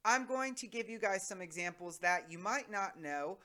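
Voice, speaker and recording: This is an American English teacher with a crisp, clean voice at a middling pitch. The delivery is conversational and informal, explaining things step by step and speaking straight to the learners. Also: animated, normal speed, pushed and bright. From United States. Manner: conversational, addresses viewers directly. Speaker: English teacher presenting an online lesson. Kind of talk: informal explanatory lesson to viewers.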